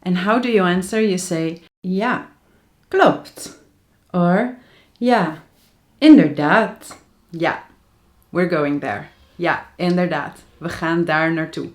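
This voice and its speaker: A Dutch woman teaching her native language in English, with a Dutch accent, and she says example sentences slowly and carefully. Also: lowish voice. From Netherlands. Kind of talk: language lesson to camera.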